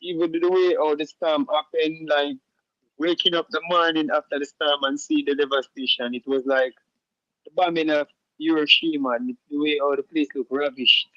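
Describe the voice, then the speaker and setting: A Jamaican man answering interview questions in an easygoing way, with lots of fillers and restarts and patois shout-outs. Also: fairly high pitch, fairly even intonation, moderate pace, no echo, lo-fi sound. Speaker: musician guest.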